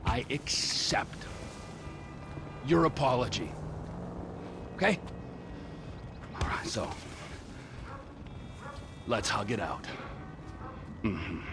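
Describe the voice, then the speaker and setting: A middle-aged psychopathic criminal from a video game. His gravelly voice swings wildly in pitch and takes its time, lurching between menace and foul-mouthed outbursts. Music runs underneath. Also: big pitch swings, drawn-out words, long pauses, heavily acted. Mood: falsely calm. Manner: menacing, erratic, swears constantly. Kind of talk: video game cutscene acting. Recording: game audio with music behind.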